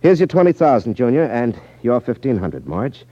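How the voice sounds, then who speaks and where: An American actor playing a cool, wry magician detective in a 1950s radio drama, with a teasing, unruffled manner. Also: male voice, mid-range voice, very animated, normal speed, mellow, dry recording. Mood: genial, confident. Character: cool, wry magician detective who exposes gambling rackets. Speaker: lead actor in a detective series. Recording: muffled 1950s radio broadcast recording.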